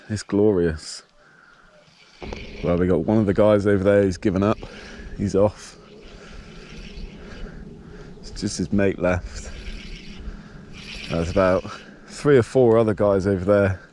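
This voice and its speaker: A man with a blocked nose and a slightly nasal voice, casually talking through his fishing outdoors by a dam in a relaxed, explanatory way. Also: low-pitched, slight background noise. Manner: relaxed, explanatory, thinking aloud. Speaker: fishing vlogger. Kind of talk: casual outdoor vlog narration.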